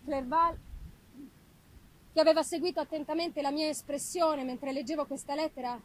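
A woman giving a dramatic, deliberate and expressive literary reading of a gothic novel. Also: sits high, poor audio. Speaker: reader of a literary audiobook.

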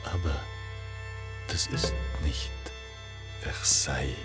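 An actor playing a brooding, feverish composer in a radio drama, murmuring slowly. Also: male voice, very deep voice. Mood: dazed. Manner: slow, murmuring. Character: brooding, feverish composer, a tormented romantic man. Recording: music swelling loudly around the voice.

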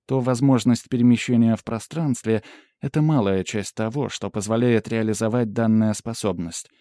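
A female artificial assistant from an audiobook, acted out by a man, delivers formal technical reports. The speech is exact and matter-of-fact, pitched a little above the reader's low talk, with a mellow tone in a dry recording. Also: no echo, natural voice. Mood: explanatory. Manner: formal technical reporting in long precise sentences. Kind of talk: audiobook character dialogue.

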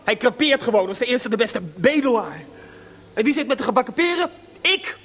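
A man acting in a radio play as an excitable, aggrieved composer short of money, stammering and exclaiming. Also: extremely high pitch, brisk, heavily acted. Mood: furious. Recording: noisy radio play recording.

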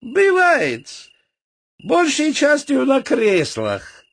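Актёр играет напыщенного и серьёзного господина-жениха с сипловатым голосом, почтительной витиеватой речью, в манере комедийного радиоспектакля. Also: male voice, very high-pitched, wildly swooping pitch, extremely slow, fairly bright tone, dry recording, heavily acted. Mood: self-important. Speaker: actor in a radio comedy play. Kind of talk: theatrical radio comedy acting.

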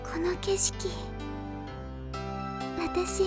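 An actress playing a gentle, devoted, emotionally fragile teenage girl in an anime audio drama, speaking politely and hesitantly. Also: extremely high pitch, level tone, extremely slow, over music, heavily acted. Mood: hesitant, wistful. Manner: soft-spoken, polite, hesitant.

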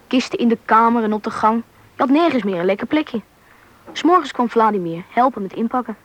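An actor with a woman's register playing an eager, curious schoolboy in a radio play, chatty and husky. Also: a little high, animated, a little fast, dull audio. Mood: reflective narrating. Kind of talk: radio play acting with first-person narration.

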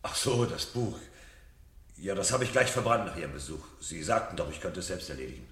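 Deep-voiced, guarded fireman. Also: very animated, a little fast, fairly bright tone. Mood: evasive. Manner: evasive, subdued, deferential. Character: guarded, nervous fireman answering his superior. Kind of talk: 1970s German radio drama acting. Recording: echoey room, vintage radio play.

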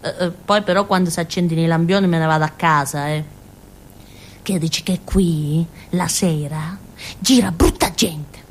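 A woman's voice: on the low side, normal speed, slight background noise, close to an everyday voice. An actress playing a naive, simple-minded village woman in a theatrical monologue, rambling and childlike with a southern Italian, Calabrian accent. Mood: confiding. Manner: rambling, childlike, with muttered asides and little interjections. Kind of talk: theatrical monologue in a radio drama.

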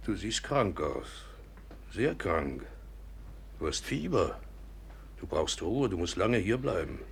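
An actor playing an impoverished colonial trader, a wistful and bitter dreamer brooding insistently in a radio play. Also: male voice, low voice, very animated, slow, vocal fry, full character voice. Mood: concerned. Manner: brooding, insistent, rambling reverie. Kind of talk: German radio play acting. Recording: noisy old radio drama recording.

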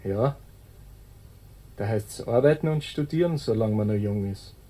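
A man's voice: on the low side, expressive, unhurried, dark tone. An actor playing an earnest young novice policeman with a Viennese accent in a satirical radio play. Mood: courting. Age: young adult. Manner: earnest, recites slogans. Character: eager, sloganeering young novice policeman with a secret.

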